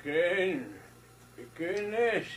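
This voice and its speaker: A man's voice: high-pitched, drawn-out words. An actor playing a crafty, fatherly outlaw disguised as a worn old man, with a rustic northern Mexican accent, in an old radio drama. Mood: amused, fatherly. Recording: noisy old radio recording with hum.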